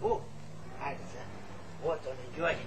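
An actor playing a talkative, teasing old village pensioner with folksy speech in a stage comedy. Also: male voice, a little high, steady tone, unhurried, lightly acted. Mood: preachy, lively. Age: older. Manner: garrulous, folksy, needling, chuckling interjections. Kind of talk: Soviet stage comedy acting. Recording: noisy 1970s theatre recording.

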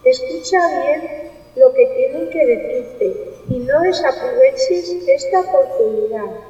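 A woman's voice: sits high, very animated, slow, mellow, muffled recording, faint music behind, heavily acted. A woman playing the solemn, admonishing spirit of a dead friend dragging chains in an amateur radio play. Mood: grave warning. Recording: distant, echoey long reverb effect.

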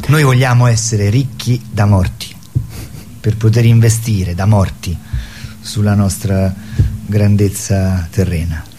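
A man's voice: lowish voice, fairly even intonation, slow pace, background noise. A man chatting casually as a theatre guest, wry, provocative and rambling. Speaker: theatre performer, radio guest. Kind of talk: casual chat.

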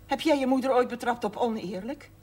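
High-voiced, plaintive older shopkeeper mother. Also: brisk, a little mellow, breathy edge. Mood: proud, defensive. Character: proud, upset widowed mother running a struggling shop. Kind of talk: naturalistic television drama acting. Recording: noisy 1970s television soundtrack.

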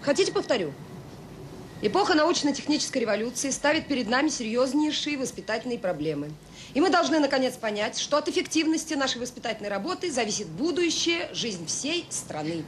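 A woman's voice: sing-song, fairly quick. A woman acting in an audio drama as a blunt, weary, middle-aged orphanage director, plainspoken and unintimidated. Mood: defiant, mocking. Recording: old audio play with faint rumble.

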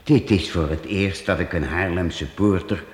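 An actor playing a kindly, excitable priest in a radio play, often exclaiming in surprise. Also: male voice, deep voice, a little slow, slightly rough, big-room sound. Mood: indignant. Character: kindly fussy middle-aged male priest. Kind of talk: radio drama acting.

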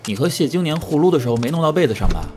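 A man playing a sharp-tongued, irritable young idol in a Chinese audio drama, curt and grumbling. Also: on the high side, expressive, racing, faint music behind, natural voice. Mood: sulky.

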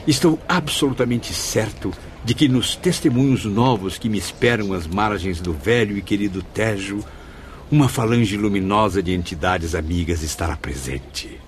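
A man's voice: lowish voice, normal speed, slight background noise, lightly acted. An actor playing a grateful, solemn male spirit in a radio drama, speaking in a florid, declamatory way. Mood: tender, grateful. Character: Camilo, grateful, solemn male spirit recalling his past.

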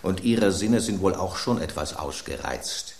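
Gossipy bread, deep voice, swings up and down a lot, roomy echo. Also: male voice, lively intonation, unhurried, vocal fry, full character voice. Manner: gossipy, teasing, dramatic. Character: gossiping bread, narrator of kitchen news. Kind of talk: radio play acting.

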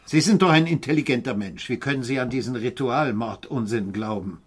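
An actor in a radio play as a rational police inspector, stern, formal and exasperated. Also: male voice, mid-range voice, brisk, breathy edge, close to an everyday voice. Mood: exasperated, sceptical. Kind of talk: radio drama acting.

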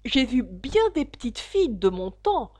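A woman voicing an anxious, shrill female pigeon guarding her eggs, shrieking and scornful. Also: very animated, unhurried, darkish tone.

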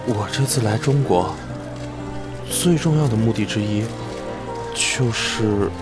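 A man acting an earnest, halting male film star in an audio drama, with music behind him. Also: on the low side. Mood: earnest, deliberate.

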